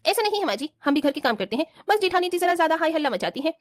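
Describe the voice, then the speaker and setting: A woman's voice: very high-pitched, big pitch swings, racing, no echo. A woman playing spoiled, work-shy young rich daughters-in-law in a cartoon family drama, in a whiny, complaining manner. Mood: indignant.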